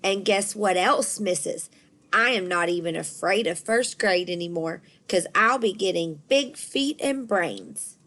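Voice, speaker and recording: A woman voicing an excitable, cheeky little schoolgirl from a storybook, with bouncy, emphatic childish talk. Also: on the low side, unhurried. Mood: eager.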